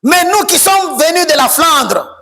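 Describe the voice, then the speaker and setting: A man preaching a sermon as pastor, shouting and sing-song, repeating phrases over and over. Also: extremely high pitch, sing-song, measured pace, vocal fry. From Democratic Republic of the Congo.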